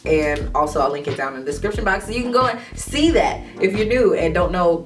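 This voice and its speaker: A young woman vlogging casually about her hair, sounding stuffy from a cold, chatty and quick to laugh, with frequent ums. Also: lowish voice, lively intonation, far from the mic, soft background music. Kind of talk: casual talk to camera.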